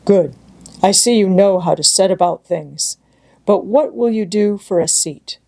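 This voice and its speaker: A woman voicing a gruff but kindly old grandfather in a storybook, speaking in brief, practical sentences. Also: medium pitch, measured pace, warm tone. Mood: approving, practical. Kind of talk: storybook audiobook character reading.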